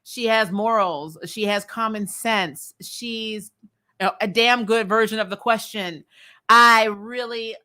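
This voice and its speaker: Bright-voiced, blunt woman recapping. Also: fairly high pitch, takes it slowly. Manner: blunt, opinionated, sweary; laughs often while talking. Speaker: host of a comics TV recap show. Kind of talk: casual recap commentary to livestream viewers.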